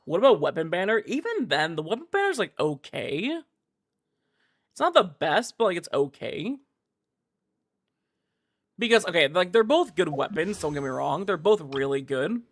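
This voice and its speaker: Higher-voiced man chatting on a game stream. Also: big pitch swings, moderate pace, pauses often. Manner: informal, slangy, repeats filler "like" constantly. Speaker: livestreamer playing a gacha game. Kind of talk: casual stream chat and game commentary.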